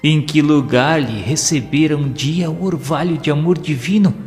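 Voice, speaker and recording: An actor playing a reverent spirit pupil who narrates an audio novel in earnest, literary, measured phrases with a Brazilian accent. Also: male voice, a little high, darkish tone, close to an everyday voice. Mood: reverent, moved. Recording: long hall reverb, background music.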